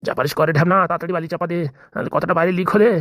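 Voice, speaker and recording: Woman doing a worried shady politician man, cartoon style, really fast, dry sound. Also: on the low side, steady tone, no room sound, lightly acted. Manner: fretful, complaining. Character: anxious, crooked male village politician. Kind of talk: comedy cartoon dialogue.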